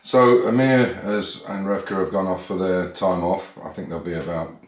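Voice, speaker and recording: Deep-voiced Englishman in an echoey garage. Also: steady tone, normal speed. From England. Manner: rambling, with frequent restarts and filler sounds. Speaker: classic car restorer and channel host. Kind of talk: casual workshop walkthrough. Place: garage workshop.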